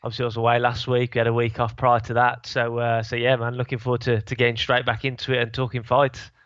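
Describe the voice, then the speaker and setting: A man's voice: medium pitch, completely flat delivery, fast talker, fairly bright tone, no room sound, lo-fi sound. A man with a sore throat co-hosting a fight podcast, chatting casually and rambling with many restarts. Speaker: podcast co-host, fight analyst. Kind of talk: casual chat.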